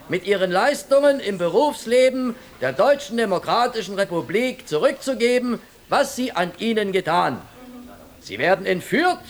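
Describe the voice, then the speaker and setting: A man's voice: extremely high pitch, heavily acted. An actor playing a pompous, indignant East German party press spokesman, declaiming propaganda in a radio drama.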